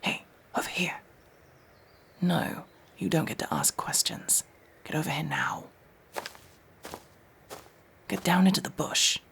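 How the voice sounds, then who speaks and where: A woman playing a gruff, guarded, world-weary elf in a fantasy ASMR roleplay, curt and bossy with hushed delivery. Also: sits low, slow, long pauses, heavily acted. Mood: hushed, urgent. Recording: faint background noise with ambience.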